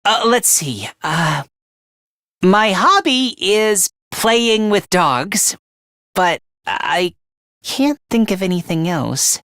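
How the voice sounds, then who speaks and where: A man voicing a meek, self-loathing young anime game character in a high, light voice, hesitant and apologetic, stammering as he puts himself down. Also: expressive, very slow, sharp tone, dry recording, clean studio sound, full character voice. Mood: shy, awkward. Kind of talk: anime-style video game voice acting.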